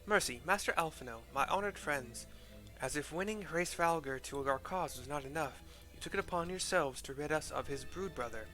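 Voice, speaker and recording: A man voicing a formal woman military commander from a fantasy game, reading in a measured way. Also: on the high side, pushed and bright, faint music behind, rough recording. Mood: grateful.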